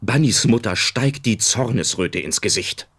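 A woman's voice: rumbling low, lively intonation, unhurried, slightly rough. A woman reading scripted narration as the storyteller of a radio play.

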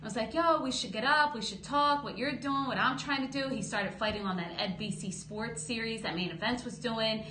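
A woman in her thirties, a boxing promoter, telling her story in a lively podcast interview at a close studio mic. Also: a little high, a little fast, hardly any pauses. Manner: lively storytelling, gestures while talking, acts out quotes. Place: small studio office, indoors. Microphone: studio boom mic close up. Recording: roomy studio with faint hum.

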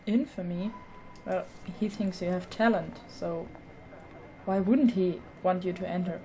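A woman hosting a casual let's play, commenting in a hesitant, low-key way. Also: steady tone, a little slow, mellow, slight background noise. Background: video game ambience.

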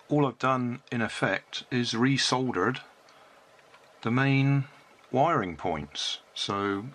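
An older British man narrating a hands-on repair in a casual, unhurried, matter-of-fact way. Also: mid-range voice, a bit bright. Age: 60s or older. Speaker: hobbyist repairing and reviewing a toy. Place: cluttered indoor hobby workbench. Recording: noisy room with clicks and handling sounds.

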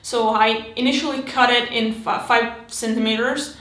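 A woman in her thirties casually narrating her hobby build, chatty and halting, with many restarts and fillers, recorded at home on a desk camera mic. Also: fairly high pitch, steady tone, a bit bright, roomy sound. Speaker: miniature terrain hobbyist.

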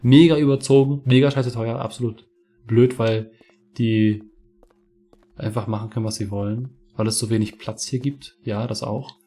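A man's voice: medium pitch, fairly even intonation, normal speed, warm tone, husky. A young man in his twenties from northeastern Germany, chatting casually and ranting over a game he plays, cursing freely. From Mecklenburg-Vorpommern. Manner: chatty, swears freely, rants. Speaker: gaming streamer. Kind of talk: casual let's play commentary. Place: home room with webcam. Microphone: headset.

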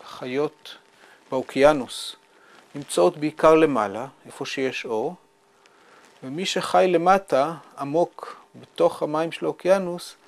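He is a man in his fifties with a rather high voice. It has plenty of fry and gravel in it. He explains like a scientist in an interview, measured and careful. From Israel. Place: small indoor room with bookshelves.

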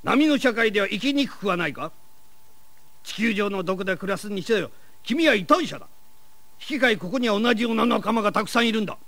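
A man's voice: sits high, sing-song, fast, fairly bright tone, no echo, heavily acted. A man playing a stern, zealous commander of a secret space force in a grandiose, declamatory audio drama style. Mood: persuasive.

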